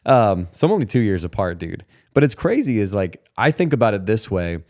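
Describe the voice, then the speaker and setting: Low-voiced man in his thirties, podcast host. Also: big pitch swings, brisk, a little mellow. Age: 30s. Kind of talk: casual podcast chat.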